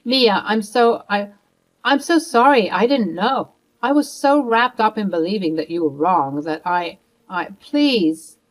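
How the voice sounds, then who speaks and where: A woman voicing a bossy, snobbish older grandmother who sneers and then begs, a little higher and louder than her own narration. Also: lively intonation, unhurried, lightly acted, low-quality recording. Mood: pleading. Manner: dismissive and sneering, later pleading.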